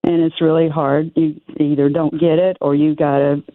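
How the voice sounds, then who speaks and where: A woman in her seventies calls in over a tinny phone line. Her voice sits very low, and she talks in a hesitant, folksy way with plenty of ums. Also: level tone, normal speed, no echo. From Oregon. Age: 70s. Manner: hesitant, self-deprecating, folksy. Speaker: caller. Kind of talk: phone-in question.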